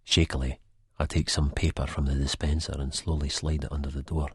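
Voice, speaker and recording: An actor playing an anxious Scottish man in a first-person audiobook, with a deep, husky voice. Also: little pitch movement, brisk, dry recording, clean studio sound, heavily acted. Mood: panicked dread. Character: anxious Scottish man narrating in sheer terror of an old enemy.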